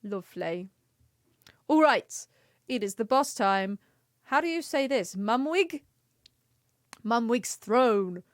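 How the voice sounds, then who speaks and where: A woman's voice: a little high, animated, takes it slowly, long pauses, no echo, studio quality. A woman giving casual, chatty livestream commentary on a video game, playful and given to sing-song exclamations. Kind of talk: casual gaming stream commentary. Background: faint music.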